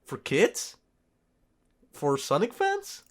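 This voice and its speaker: A man's voice: high-pitched, wildly swooping pitch, very slow. A man co-hosting a podcast, giving blunt, informal opinions on films in casual conversation. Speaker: podcast co-host. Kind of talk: casual film review chat.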